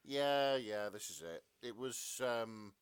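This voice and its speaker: A man's voice: lowish voice, animated, slow pace, smooth, no echo. A man co-hosting a podcast, chatting casually in a wry, somewhat hesitant way. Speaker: podcast co-host and narrator. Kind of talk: casual comedic podcast chat.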